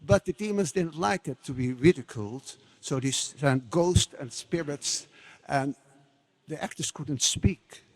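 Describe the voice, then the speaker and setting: A man's voice: on the high side, a little slow. A man introducing a devotional play, speaking in an informal address to those gathered.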